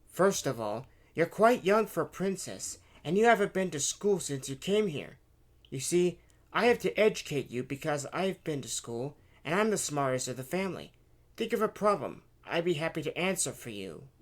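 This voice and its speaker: Narrator as a smart-aleck but helpful school kid, boy, explains stuff patiently, storybook read, lively pitch. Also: moderate pace. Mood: self-assured. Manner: patient, know-it-all explaining. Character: helpful, self-assured schoolboy explaining things.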